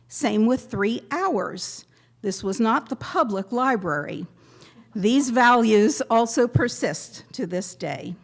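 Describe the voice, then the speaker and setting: A woman giving a prepared memorial tribute to a gathering, speaking in measured, deliberate phrases with pointed pauses. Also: on the high side, darkish tone. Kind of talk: prepared eulogy read aloud to a gathering.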